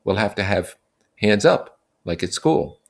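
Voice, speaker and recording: A man's voice: on the low side, animated, unhurried, vocal fry, voice pushed up. A man voicing an earnest, reasonable boy leader who declares things in short, plain statements, in a storybook reading. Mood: firm, explaining.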